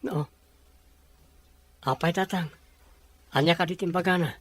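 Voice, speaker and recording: A man with a Filipino accent playing a gentle, concerned young adult son in an audio drama. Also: a little high, unhurried, slightly rough. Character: concerned, gentle grown son talking with his father. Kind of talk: Ilocano audio drama acting.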